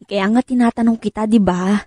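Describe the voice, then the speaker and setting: An actress with a Filipino accent playing an anxious, practical wife in a radio drama, probing and gently pleading in a conversational way. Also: female voice, mid-range voice, fairly even intonation, a little fast, no echo, clean studio sound, natural voice. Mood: worried, careful.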